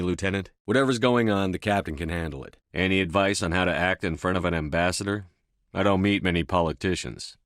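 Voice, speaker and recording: A man's voice: low voice, fairly bright tone, no echo, studio quality. A man playing a resolute, authoritative starship commander in a video game, speaking in a terse, controlled, commanding way. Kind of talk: video game dialogue acting.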